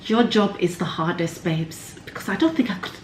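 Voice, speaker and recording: A woman's voice: lowish voice, normal speed, darkish tone. A woman chatting casually to viewers in a candid, cheeky, slangy way, like a vlog host. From Somalia. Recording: echoey room, faint background noise.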